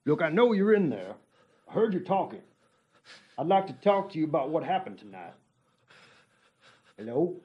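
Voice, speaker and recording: An actor playing a brusque, bossy police officer in an audio drama, with a husky voice heard muffled from a distance. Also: male voice, fairly high pitch, big pitch swings, moderate pace, pauses often. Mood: insistent. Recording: muffled, distant, as through a door.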